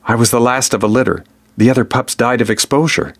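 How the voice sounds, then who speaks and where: A man voicing a watchful thirteen-year-old boy, calm and calculating, who speaks softly and steadily. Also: mid-range voice, fairly quick, voice pushed up, natural voice. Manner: soft, quiet and steady.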